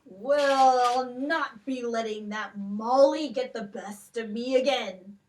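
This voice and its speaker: A woman voicing a sleepy, boastful male giant in a children's puppet show, loud and blustering. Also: a little high, slow pace, recorded from a distance, a little hiss or hum. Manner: loud, blustering, self-pitying. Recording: voice from off the mic.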